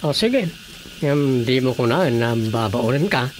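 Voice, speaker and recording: An actor playing a skeptical, teasing brother-in-law in an Ilocano radio comedy drama, expressive and questioning, with an Ilocano accent. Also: male voice, mid-range voice, unhurried, lightly acted. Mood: grumbling. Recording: faint noise with night insects.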